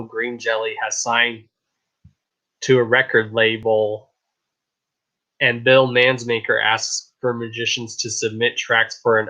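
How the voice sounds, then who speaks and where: A man hosting a casual live stream show, chatting in a hyped, rambling way, his voice a little raspy. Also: medium pitch, sharp tone, highs cut off. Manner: hyped, rambling, repeats "I kid you not". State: slightly raspy voice.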